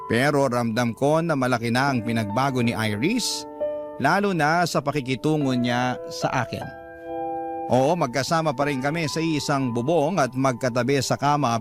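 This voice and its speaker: A man's voice: mid-range voice, natural voice. An actor playing a troubled boyfriend who recounts his relationship as scripted first-person radio drama narration. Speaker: narrator of a letter sender's story. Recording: music bed behind narration.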